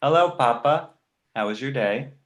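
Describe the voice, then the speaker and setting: Man playing a wisecracking baby boy, very animated. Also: mid-range voice, moderate pace, highs cut off, close to an everyday voice. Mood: smug, needling. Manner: smart-alecky comic delivery. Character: precocious, wisecracking, manipulative two-month-old baby boy. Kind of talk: animated comedy table read.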